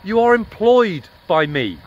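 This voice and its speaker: A man's voice: sits high, big pitch swings, unhurried, highs cut off. A man in his sixties, filming an officer on the street, sarcastic and repeating the same challenge. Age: 60s. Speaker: member of the public filming an officer. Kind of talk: confrontational camera audit. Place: residential street outdoors at dusk.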